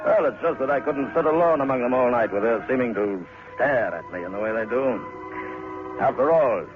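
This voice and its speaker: An actor playing a cautious, businesslike museum manager in an old radio drama, measured and formal with hesitant asides. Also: male voice, mid-range voice, lively intonation, music in the background, natural voice. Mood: uneasy. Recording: tinny, noisy old radio recording.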